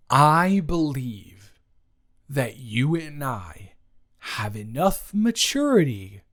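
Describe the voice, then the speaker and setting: A man playing an awkward, earnest ex-boyfriend in an improvised romantic roleplay, speaking haltingly and stammering to the listener. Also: on the high side, exaggerated voice. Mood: earnest, flustered. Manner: halting, stammering, with long pauses. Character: awkward, earnest, teasing young ex-boyfriend trying to reconcile.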